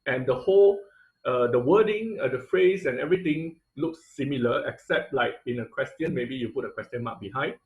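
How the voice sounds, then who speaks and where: A man's voice: medium pitch, lively intonation, a little fast, phone-quality sound. Male instructor, patient explainer, filler-heavy, computer mic. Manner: explanatory, frequent "uh" and "right?" tag questions. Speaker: robotics instructor. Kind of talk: online class lecture over slides.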